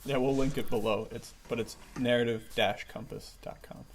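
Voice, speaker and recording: A man's voice: mid-range voice, steady tone, normal speed, gravelly. A man hosting a podcast in casual, chummy chat, enthusiastic and often chuckling. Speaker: podcast host. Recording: faint background noise.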